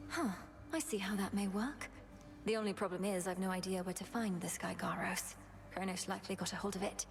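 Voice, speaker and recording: A woman playing an earnest, resolute young witch princess in a video game, speaking in a formal, measured manner. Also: medium pitch, close to an everyday voice. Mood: serious, questioning. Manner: formal, measured, archaic phrasing. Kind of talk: video game drama acting.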